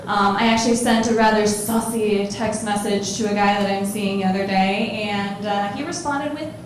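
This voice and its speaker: A woman's voice: medium pitch, steady tone, normal speed. A woman in her forties from Ohio doing a self-deprecating stand-up comedy set, chatty and full of ums. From Ohio, USA. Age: 40s. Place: comedy club stage, large room. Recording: roomy live club recording with noise.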